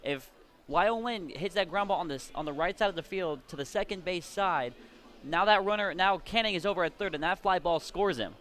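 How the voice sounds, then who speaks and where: A man's voice: high voice, fairly quick, fairly bright tone. A man giving conversational, explanatory color commentary on a live radio baseball broadcast. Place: at a baseball game. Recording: clear ambient noise behind voice.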